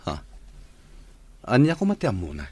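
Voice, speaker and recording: An actor playing a worried, guilt-ridden cheating husband in an Ilocano radio drama, speaking in a hesitant, anxious way. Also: male voice, mid-range voice, huge pitch swings, moderate pace, a little mellow, breathy edge. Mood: defensive.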